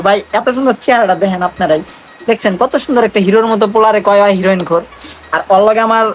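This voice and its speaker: A young Bangladeshi man talks at a quick clip in a casual vlog style. His wording is slangy and teasing, and music plays faintly behind him. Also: high voice. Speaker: vlogger making prank calls. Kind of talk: casual vlog chat to viewers and on calls.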